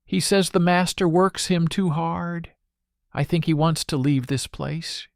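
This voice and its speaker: A man's voice: on the high side, steady tone, a little slow, gravelly, no echo, studio quality, voice pushed up. A man voicing a sly, gossiping female cat with a confiding, falsely concerned manner in a storybook fable.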